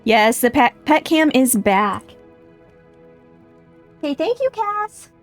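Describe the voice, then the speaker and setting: Higher-pitched woman, chatty livestreamer. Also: very animated, slow pace, soft background music. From United States. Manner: chatty, thinking aloud, self-deprecating. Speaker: game livestream host. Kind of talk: casual livestream chat and gameplay commentary.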